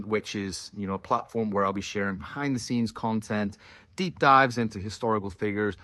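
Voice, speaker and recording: A man's voice: mid-range voice, fairly bright tone, dry recording, studio quality. A man in his forties with a Northern Irish accent, an author talking earnestly about his work, with frequent ums and restarts. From Northern Ireland. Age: 40s. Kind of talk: direct-to-camera talk.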